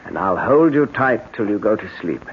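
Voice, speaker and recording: An actor playing a soothing, secretly sinister husband in a 1970s radio drama, talking in a placating way. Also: male voice, lowish voice, animated, normal speed, background noise. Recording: dull old radio broadcast.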